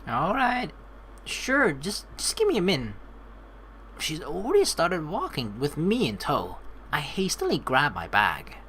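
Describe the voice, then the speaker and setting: A woman's voice: low-pitched, wildly swooping pitch, measured pace, a bit bright, a little hiss or hum. A woman hosting a let's play, switching between reading game text aloud and casual, playful joking commentary. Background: game music.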